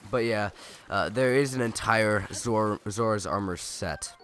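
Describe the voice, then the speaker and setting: A young man with a cold, his nose stuffed and his voice raspy, rambling chattily and correcting himself as he comments on a video game. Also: lowish voice, measured pace, a bit bright, soft background music. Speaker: let's play gamer. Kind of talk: casual gameplay commentary.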